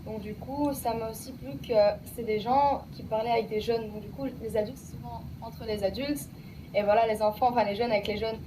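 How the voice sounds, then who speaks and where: A young woman in her early twenties answers radio interview questions in a casual, youthful way, full of fillers. Her voice sits slightly high and a bit far from the mic. Background noise is clearly audible. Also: fairly even intonation, a little fast. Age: young adult. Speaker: alumna, guest.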